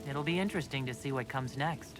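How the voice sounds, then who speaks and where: An actress playing a calm, gracious leader in a fantasy game. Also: female voice, rumbling low, fast. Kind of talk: dramatic game dialogue. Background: music.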